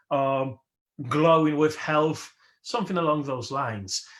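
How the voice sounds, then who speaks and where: A man whose first language is Polish, leading a worship service as its host and speaking slowly and reflectively, with emphatic rises, over a video call. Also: fairly high pitch, fairly bright tone. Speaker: worship leader. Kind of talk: sermon.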